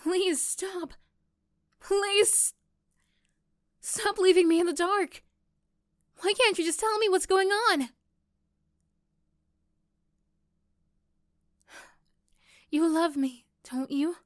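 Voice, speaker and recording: A woman's voice: extremely high pitch, takes it slowly, long pauses, dry recording, clean studio sound, voice pushed up. A woman voicing a possessive yandere girlfriend in an ASMR roleplay, coaxing and pleading with tender pet names. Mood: desperate, pleading.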